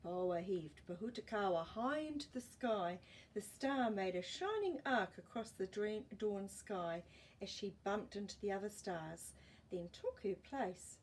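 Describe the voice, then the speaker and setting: A woman's voice: mid-range voice, lively intonation, unhurried, a little mellow, a little hiss or hum, low-quality recording. A woman reading a children's story expressively, with playful asides to listeners.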